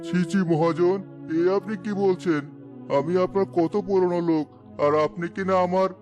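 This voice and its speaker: Cartoon role: humble old labourer played by a man, plaintive, slightly raised pitch, music underneath. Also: fairly even intonation, normal speed, a little mellow, close to an everyday voice. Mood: hurt, pleading. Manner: plaintive, pleading. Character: weary poor old village labourer, humble father. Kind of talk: Bangla cartoon story dialogue.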